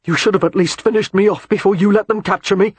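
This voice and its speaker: A man voicing a bitter, traumatized male ex-soldier who shouts his accusations in a strained, tearful voice. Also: sits high, fairly even intonation, fast, breathy edge, no room sound, pitched above the performer's own voice. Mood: broken. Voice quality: strained, near tears.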